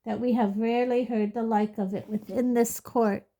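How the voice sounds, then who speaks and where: A woman's voice: on the high side, fairly even intonation, unhurried, lightly acted. A woman voicing a harsh middle-aged male judge who bellows his verdicts. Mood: cold fury. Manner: loud, cold, bellowing.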